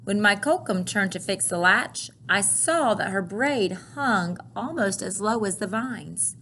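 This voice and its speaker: A woman's voice: medium pitch, animated, measured pace, sharp tone, slight background noise. A woman reading a picture book aloud to children, expressively and carefully, with brief asides of her own.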